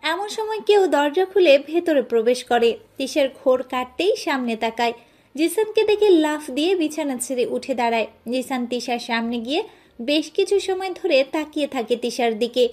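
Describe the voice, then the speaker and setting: A woman's voice: high voice, animated, normal speed, a little mellow. A woman narrating a scripted romantic story as its storyteller.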